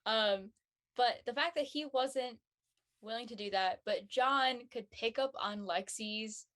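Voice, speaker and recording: A woman chatting casually as a panel guest, informal, emphatic and quick to give her opinion. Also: fairly high pitch, fairly bright tone. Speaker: guest on a web show panel. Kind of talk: casual chat.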